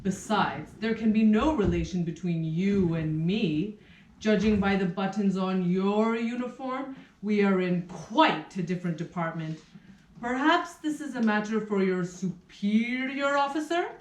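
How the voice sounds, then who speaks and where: A woman's voice: medium pitch, measured pace, a little mellow, natural voice. A woman voicing a haughty nose that poses as a state councillor in a puppet show, aloof and dismissive. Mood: haughty, indignant. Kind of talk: puppet show stage acting in rehearsal. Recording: roomy echo, somewhat distant mic.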